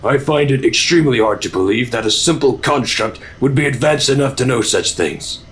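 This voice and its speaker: An actor playing a stern, skeptical senior military commander, formal and authoritative, in an audio drama. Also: male voice, mid-range voice, expressive, a little fast, a bit bright, natural voice.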